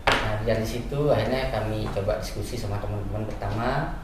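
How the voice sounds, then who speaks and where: A man's voice: fairly low pitch, brisk. A man giving conversational, step-by-step explanations as a guest in a radio interview. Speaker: school principal, interview guest. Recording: very echoey, distant mic, noisy room.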